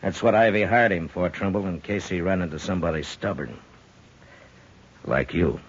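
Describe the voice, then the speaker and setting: An actor playing a stern, weary, no-nonsense American frontier marshal in a 1950s radio western, terse and dry. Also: male voice, low voice, normal speed, a little hiss or hum, close to an everyday voice. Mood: grave. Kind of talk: 1950s radio drama acting. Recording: muffled 1950s radio recording.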